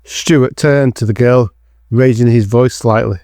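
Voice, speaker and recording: A man's voice: medium pitch, fairly even intonation, unhurried, dark tone, dry recording, studio quality. A man reading scripted narration for an audio drama as its narrator.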